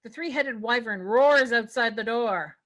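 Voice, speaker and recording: A woman's voice: fairly high pitch, unhurried, a bit bright, poor audio. A woman reading stage directions as narrator in a scripted fantasy table read.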